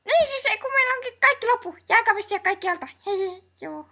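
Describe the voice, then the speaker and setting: A woman voicing a frazzled, hot-tempered young guardian in a comedy cartoon dub, excitable and blustering. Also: very high-pitched, shouting, full character voice. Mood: flustered, evasive. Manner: excitable, blustering, foul-mouthed.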